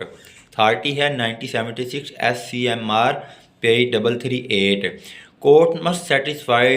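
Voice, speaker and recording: Slow-paced Pakistani man giving a law lesson. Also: medium pitch, fairly bright tone. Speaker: law lecturer. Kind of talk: lesson, reading English legal text aloud and explaining it.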